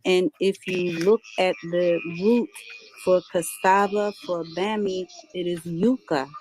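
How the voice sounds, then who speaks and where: A woman reasoning aloud in a measured way during a live online debate. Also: lowish voice, steady tone, a little mellow, a little hiss or hum, poor audio. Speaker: debate participant.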